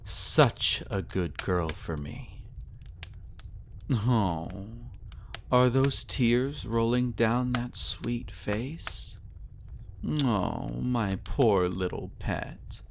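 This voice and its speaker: A man's voice: medium pitch, expressive, extremely slow, gravelly, slight background noise, heavily acted. A man playing a sadistic, possessive vampire in an intimate roleplay audio drama, with a commanding, condescendingly tender purr. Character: sadistic, possessive male vampire, silky and menacing.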